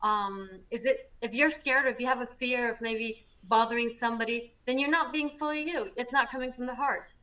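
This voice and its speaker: A woman co-hosting a spiritual astrology show, talking in an energetic, emphatic way in casual chat over a video call. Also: on the high side, brisk, few pauses, recorded from a distance, highs cut off. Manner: energetic, emphatic, self-described loud. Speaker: co-host, astrologer.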